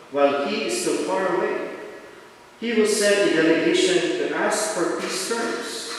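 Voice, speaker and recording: A man reading the gospel aloud at Mass, slow and measured. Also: high-pitched, far from the mic, slight background noise. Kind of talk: liturgical reading. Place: large church. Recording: echoey church heard from afar.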